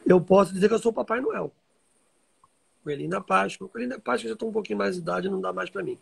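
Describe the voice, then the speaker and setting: A Brazilian man talks to his live-stream followers in a high voice for a man, heavily creaky and raspy. He is informal and emphatic, repeating key phrases as he teaches. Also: fast talker. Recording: livestream audio reposted from social media.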